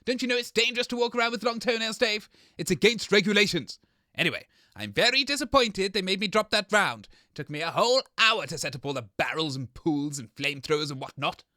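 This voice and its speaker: A man playing an excitable, indignant, blustering co-host on a comedy radio show. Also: high voice, fast talker, dry recording, clean studio sound.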